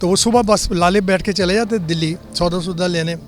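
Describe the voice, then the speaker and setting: Elderly man reminiscing, higher-pitched voice. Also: soft background music. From born in Delhi, lives in Lahore. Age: 80s. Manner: unhurried storytelling, plain homely detail. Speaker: interviewee recalling 1947 migration. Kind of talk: reminiscing eyewitness account.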